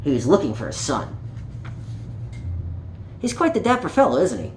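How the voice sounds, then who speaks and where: A man reads a picture-book tale to children with a very lively, swooping delivery. He speaks playfully, straight to his young listeners. The recording sounds roomy, with a little background noise. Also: on the high side, normal speed. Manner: playful, child-directed, with small exclamations and questions to listeners. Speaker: storyteller reading for children.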